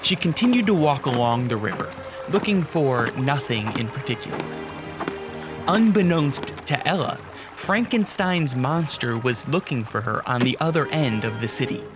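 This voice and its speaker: A man narrating a scripted radio drama in a measured, theatrical storytelling manner. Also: medium pitch, expressive. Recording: clear music behind.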